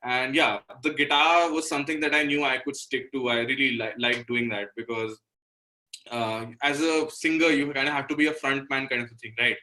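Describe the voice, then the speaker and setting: A man's voice: mid-range voice, fairly even intonation, moderate pace, sharp tone, poor audio. A young man from Delhi with an Indian accent, talking casually and self-deprecatingly as a musician guest in an interview. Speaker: interview guest, singer-songwriter and guitarist.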